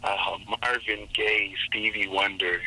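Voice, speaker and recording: A male singer and minister with a raspy, low voice, speaking slowly and thoughtfully as a guest in an interview. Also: flat delivery, pushed and bright, poor audio. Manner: slow, measured, reflective. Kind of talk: interview over a call.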